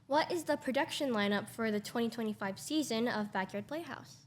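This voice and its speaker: A woman's voice: fairly high pitch, fairly quick, slight background noise. A woman co-hosting an interview show, putting prepared questions to guests in a polite, appreciative way.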